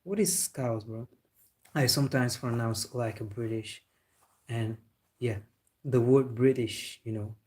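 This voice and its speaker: A man's voice: mid-range voice, a little slow, long pauses, darkish tone. Chatty young man streaming live. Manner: loose and chatty, calls viewers bro, talks with a laugh. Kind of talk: casual live stream chat, reading viewer comments.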